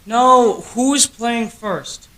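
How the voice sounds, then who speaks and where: A woman's voice: fairly high pitch, very slow, fairly bright tone, poor audio. A young woman in a student radio play, playing the matter-of-fact straight man of a comedy double act with unbudging answers. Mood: mildly impatient. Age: young adult. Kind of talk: comic double-act stage patter.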